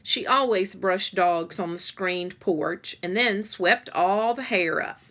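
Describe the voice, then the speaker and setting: A woman reading a children's story aloud in a measured, deliberate storytelling way. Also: mid-range voice, fairly bright tone. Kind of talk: read-aloud story narration.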